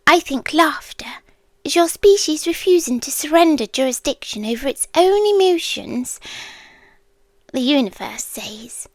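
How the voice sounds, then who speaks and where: British woman doing an ancient doomsday girlfriend thing, calm n clingy, high soft half whispered asmr voice, super slow. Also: no room sound. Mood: fond, musing. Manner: slow, hushed, deliberate delivery. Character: ancient female apocalyptic being, calm possessive doting girlfriend.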